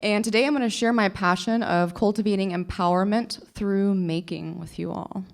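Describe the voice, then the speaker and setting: A woman's voice: medium pitch, fairly even intonation, normal speed, creaky. A young woman from Oklahoma, a librarian giving a short conference presentation, talking earnestly and enthusiastically with small restarts. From Oklahoma, USA. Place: conference stage.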